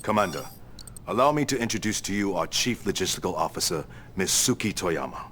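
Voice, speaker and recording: Cool young game prince, real deep, faint hiss behind. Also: male voice, expressive, brisk, a bit bright. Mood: assured. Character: young male crown prince, confident and modern.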